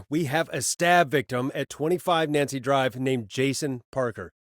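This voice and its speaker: A man's voice: mid-range voice, unhurried, no echo, studio quality, lightly acted. A man playing an earnest radio host in a video game, conversational and naturalistic, guiding people through emergencies. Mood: calm, urgent.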